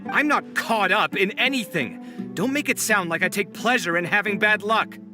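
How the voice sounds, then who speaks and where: A man's voice: sits high, normal speed, lightly acted. An actor voicing an earnest, easily flustered young male architect. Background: soft game music.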